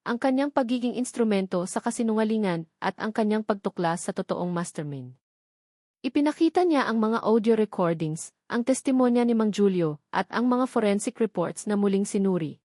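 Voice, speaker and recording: A woman's voice: mid-range voice, animated, moderate pace, mellow, no room sound. Woman narrating a scripted drama, dry sound. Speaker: story narrator.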